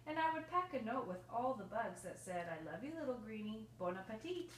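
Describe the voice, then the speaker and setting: A woman's voice: a little high, sing-song, brisk. A woman voicing a loving, patient storybook mother with a tender, singsong, reassuring manner. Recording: roomy, distant mic, faint noise.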